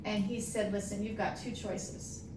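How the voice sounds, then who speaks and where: A woman from Long Island, New York speaks as a podcast guest with a medium-pitched voice. She tells personal stories in a casual, emphatic way. The room is echoey and she sits some distance from the microphone. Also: fairly even intonation, measured pace, noisy background.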